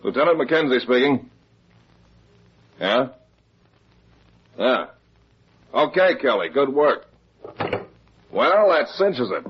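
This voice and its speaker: A police lieutenant in an old radio crime drama, blunt and sure of himself. His husky voice swoops up and down through clipped phrases. The vintage recording sounds dull and muffled. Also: medium pitch, very animated, takes it slowly, long pauses, heavily acted. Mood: brisk, satisfied. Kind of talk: 1950s radio detective drama acting.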